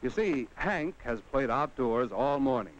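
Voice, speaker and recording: Animated American man narrating a vintage educational film. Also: medium pitch, a little slow, slight background noise. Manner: addresses the child on screen directly with rhetorical questions. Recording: dull vintage 1950 film soundtrack.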